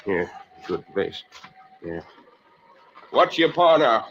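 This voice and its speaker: Muffled, noisy vintage radio recording, low-voiced brutish American farmer, middle-aged, terse, surly, guilty mutter. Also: male voice, sing-song, takes it slowly, full character voice. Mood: urgent, coaxing. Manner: terse, muttering in clipped fragments, barking orders. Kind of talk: old-time radio drama acting.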